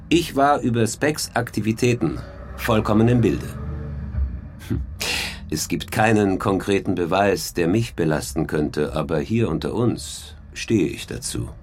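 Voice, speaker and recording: A man's voice: low-pitched, animated, measured pace. An actor playing an unapologetic male football club boss in a crime radio drama, controlled, self-assured and matter-of-fact. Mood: cool, confiding.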